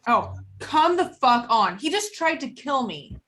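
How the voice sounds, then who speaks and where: A woman's voice: high-pitched, big pitch swings, unhurried, fairly bright tone, heavily acted. A woman acting a brash, hostile, foul-mouthed tough woman at a script table read, combative and sarcastic. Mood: exasperated.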